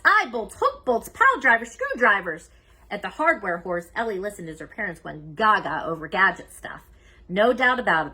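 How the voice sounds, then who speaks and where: A woman reading a children's story aloud as narrator, expressive and emphatic. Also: mid-range voice, husky. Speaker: children's book reader.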